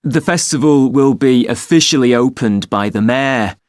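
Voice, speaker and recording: A man's voice: mid-range voice, normal speed, darkish tone, no echo, studio quality, close to an everyday voice. Man acting a polite box office clerk. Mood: helpful, polite. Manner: clear, courteous, informative. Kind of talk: scripted listening-test phone dialogue.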